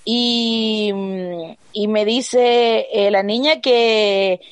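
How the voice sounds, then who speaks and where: A woman's voice: medium pitch, steady tone, drawn-out words, dry recording. A young Chilean woman co-hosting a podcast, chatting casually and ranting expressively in heavy slang with a Chilean accent. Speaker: podcast co-host. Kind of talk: casual chat.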